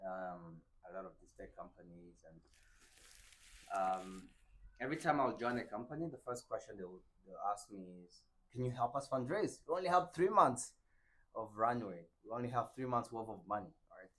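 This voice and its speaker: A man's voice: fairly low pitch, moderate pace, low-quality recording. A young man from Burundi whose first language is French, explaining venture capital in conversation and acting out dialogue while checking in with "right?". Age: young adult. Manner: animated, acts out dialogue with many "right?" checks.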